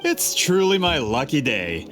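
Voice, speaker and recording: A formal, persuasive publisher, pitch swinging a lot; game dialogue. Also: male voice, sing-song, a little slow, fairly bright tone, soft background music. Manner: formal, courteous, salesman-like. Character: polished adult male book publisher, courteous, persuasive. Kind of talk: video game voice acting.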